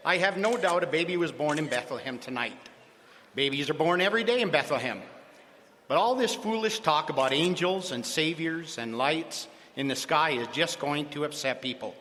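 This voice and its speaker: An actor playing a pompous, evasive Roman governor giving curt official statements in a live radio drama. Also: male voice, a little high, sharp tone. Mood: stern. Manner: stiff, dismissive official statements. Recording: live stage recording in a hall with faint hiss.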